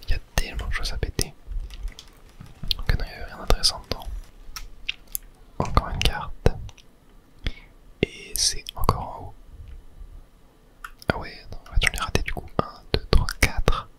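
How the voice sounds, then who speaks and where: A man speaks entirely in a soft whisper, close to the microphone. He murmurs casual video game commentary in an ASMR style, talking gently to his viewers as he plays. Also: normal speed, pauses often, poor audio.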